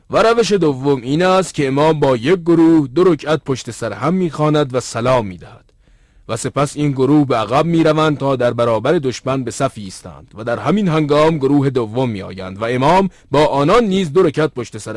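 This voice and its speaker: A man acts as an earnest narrator for an educational religious cartoon. He sits at a middling pitch, speaks in formal, bookish phrases with a measured delivery, and sounds close and dry. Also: no echo, lightly acted.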